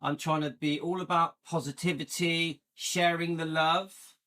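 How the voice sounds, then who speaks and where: A man's voice: little pitch movement, measured pace, sharp tone. A middle-aged man, hosting a casual live stream and chatting with viewers, rambling with a playful edge. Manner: chatty and rambling, jumps into a higher playful pitch when joking. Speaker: YouTube channel host.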